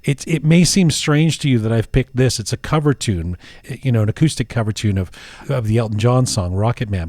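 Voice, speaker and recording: A man's voice: medium pitch, a little mellow, no room sound, clean studio sound. A man hosting an interview podcast, musing aloud with many restarts and false starts. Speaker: podcast host.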